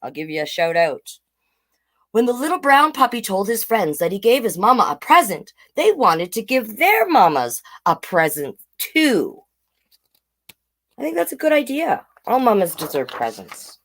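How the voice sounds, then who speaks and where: Animated woman hosting children's storytime. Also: on the high side, moderate pace. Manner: animated, sing-song, chatty asides. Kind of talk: live read-aloud storytime for children.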